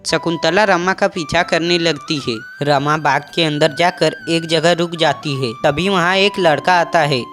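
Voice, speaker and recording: Cartoon narrator, steady and even, music bed. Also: medium pitch, measured pace, hardly any pauses. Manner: steady, matter-of-fact telling.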